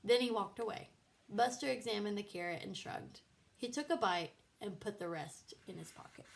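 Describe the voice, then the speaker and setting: Creaky-voiced woman reading a children's story. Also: mid-range voice, normal speed. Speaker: storybook reader. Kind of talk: children's book read-aloud narration.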